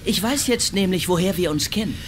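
Smug menacing lawyer, noisy surroundings. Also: male voice, high voice, lively intonation, a little fast, fairly bright tone. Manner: taunting, self-assured. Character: menacing, smug male lawyer blackmailing a passenger. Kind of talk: German radio crime drama acting.

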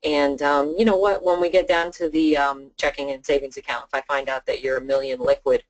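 An insurance sales trainer gives an informal talk to colleagues on a conference call. The delivery is chatty and conversational, often playing out what would be said to clients. The voice sits at a middling pitch. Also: little pitch movement, fairly quick, rough recording. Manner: informal, chatty, role-plays client dialogue.

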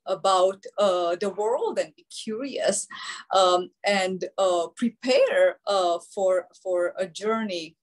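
Woman, Romanian accent, middle-aged, panel speaker, video call, many hesitations. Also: medium pitch. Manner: frequent hesitations with many uh fillers. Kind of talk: online panel presentation.

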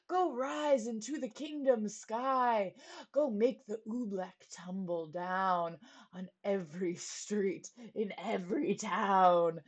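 A woman voicing a group of scheming magicians, reciting a slow sing-song spell. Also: on the high side.

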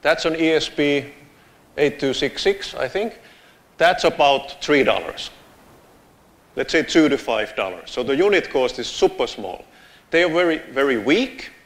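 A man's voice: fairly high pitch, measured pace. A man from Finland in his thirties with a Finnish accent, giving a casual, joking conference talk on a lapel mic in a large lecture theatre. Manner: casual, joking, lively gestures while walking the stage. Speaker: conference speaker.

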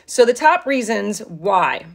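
A woman's voice: medium pitch, sing-song, slow pace, creaky. Casual, emphatic woman hosting a live chat. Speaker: live show host and fitness coach. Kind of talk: casual live discussion, reading viewer comments. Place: small office with drop ceiling.

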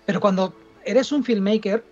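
A man's voice: high voice, normal speed, a little mellow, lo-fi sound, soft background music. A middle-aged man with an accent from Spain, a filmmaker talking insistently in a casual panel discussion. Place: indoors at home, video call.

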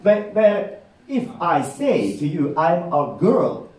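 Japanese-accented guy doing rakugo in a meeting room, slow lively delivery, roomy and far from the camera. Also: on the high side, a crowd in the background. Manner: animated, warm explaining with gestures and frequent "okay?" checks. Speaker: rakugo storyteller. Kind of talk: live comic storytelling to an audience. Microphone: far camera in the audience. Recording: roomy sound from a distant camera.

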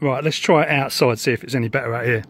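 A man's voice: mid-range voice, fast talker, background noise. A middle-aged man with a southern English accent chatting informally in a casual hobby vlog. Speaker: radio hobbyist vlogger. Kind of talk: casual vlog chat and radio calls. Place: house and back garden.

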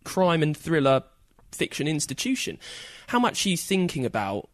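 Lively male podcast interviewer. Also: fairly high pitch, moderate pace, no room sound, clean studio sound. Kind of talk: conversational interviewing.

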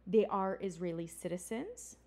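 A woman's voice: mid-range voice, lively intonation, moderate pace, a little mellow. A woman instructor giving a recorded college lecture, explaining terms conversationally with occasional fillers and self-corrections.

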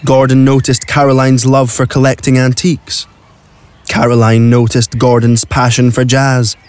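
A man's voice: medium pitch, completely flat delivery, normal speed, darkish tone, smooth, dry recording, natural voice. A man narrating a scripted audio drama as the storyteller.

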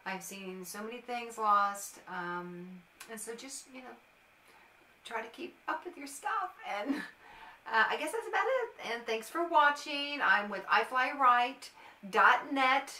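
Casting: middle-aged American woman, informal vlog-style advice giver, bright crisp-edged tone, recorded slightly off-mic. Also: a little high, lively intonation, slow. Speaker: airport agent and vlogger. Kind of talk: casual advice to camera. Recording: roomy, echoey, distant mic.

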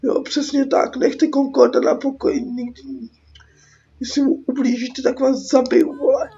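A woman's voice: high voice, little pitch movement, creaky, mellow. A Czech woman with a cold giving casual, sweary game commentary, reading out dialogue and reacting with exasperation. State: sick with a cold. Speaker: gaming YouTuber. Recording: muffled mic with background noise.

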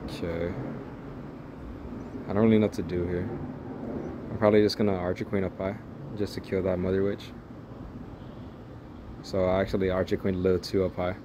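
Deep-voiced young man, casual game commentary. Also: level tone, moderate pace, long pauses, noisy background. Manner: rambling, profane, jokey play-by-play. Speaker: gaming channel host.

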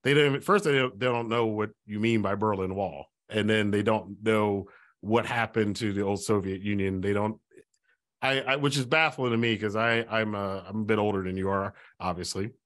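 A man's voice: on the low side, normal speed. A man in his forties hosting a casual interview at a close studio mic, rambling and gesturing as he talks. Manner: rambling, restarts, animated with gestures. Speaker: podcast host. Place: small home studio.

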